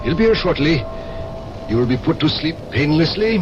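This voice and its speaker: A cold Russian interrogator. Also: male voice, fairly high pitch, very animated, moderate pace, muffled recording, background noise. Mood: menacing. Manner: clipped, coldly threatening. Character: cold, menacing Russian secret police interrogator, male. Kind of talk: 1970s radio acting.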